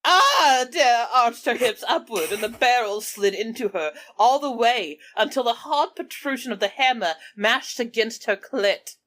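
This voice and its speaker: A woman's voice: a little high, animated, measured pace, few pauses, a bit bright. A woman reading comic fan fiction aloud in a dramatic storytelling style, prone to giggling as she goes. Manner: breaks into giggles while reading. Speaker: reader of fan fiction on a comedy podcast. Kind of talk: dramatic read-aloud of comic erotic prose.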